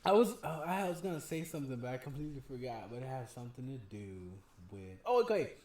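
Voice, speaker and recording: A man's voice: medium pitch, very animated, fast, darkish tone, reverberant. Man with a lisp, rambling comic. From California. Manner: rambling, profane, laughs often. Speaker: podcast guest, stand-up comic. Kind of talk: casual podcast chat.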